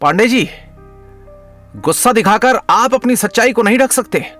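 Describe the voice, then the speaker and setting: A man's voice: high-pitched, expressive, moderate pace, fairly bright tone, faint music behind, pitched above the performer's own voice. A man voicing an insistent, suspicious young man in a comic audio drama, urgent and demanding. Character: insistent, suspicious young male confronting a culprit.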